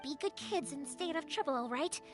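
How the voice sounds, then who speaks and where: A young woman voicing a spirited, caring fireworks maker in a video game, expressive and earnest. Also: high voice, a little fast, faint music behind. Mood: reassuring.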